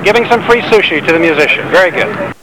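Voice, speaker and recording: Man, somewhat high pitch, street interviewer, casual short questions, very noisy outdoor sidewalk recording. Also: animated, quick pace.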